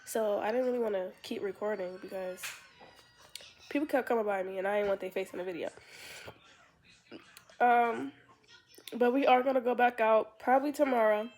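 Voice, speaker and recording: A woman's voice: fairly high pitch, normal speed, long pauses. A young woman vlogging casually with a congested voice, rambling and trailing off as she talks to her viewers. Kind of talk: casual vlog talk. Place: outdoors by a lake, then at home. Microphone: phone camera. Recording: loud outdoor noise.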